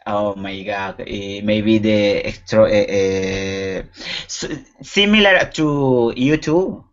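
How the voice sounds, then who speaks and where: A man from Venezuela with a Venezuelan Spanish accent, a student speaking halting English and joking with laughs over a video call. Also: lowish voice. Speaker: language student. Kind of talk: casual chat in class. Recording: dull lo-fi video call audio.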